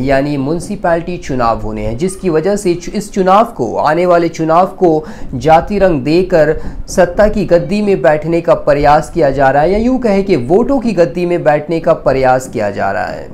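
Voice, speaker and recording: A man's voice: on the high side, animated, moderate pace, few pauses, a little mellow, a little hiss or hum. A man presents a scripted news explainer, addressing viewers directly in a formal way. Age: 20s.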